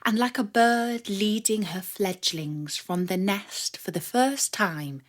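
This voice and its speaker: A woman narrating a children's story from a script with expressive, dramatic delivery. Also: medium pitch.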